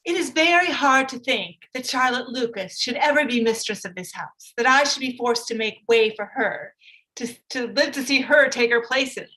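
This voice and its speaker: Woman playing a shrill, fretful period-drama mother. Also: animated, normal speed, sharp tone, low-quality recording. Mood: gloomy self-pity. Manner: plaintive, theatrical. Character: fretful, melodramatic middle-aged mother, self-pitying about her nerves. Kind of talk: stage-play reading, period comedy.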